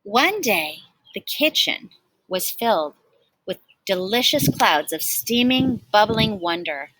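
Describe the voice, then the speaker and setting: Bright, animated woman reading a storybook. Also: mid-range voice, slow, no room sound, low-quality recording. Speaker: teacher reading aloud. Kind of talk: expressive picture-book read-aloud for children.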